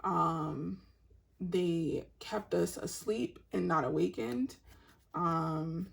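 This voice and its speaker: Slow, raspy, low-voiced woman reader. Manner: soft-spoken and meandering, unhurried spiritual talk. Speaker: tarot reader. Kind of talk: intuitive tarot reading for an online audience.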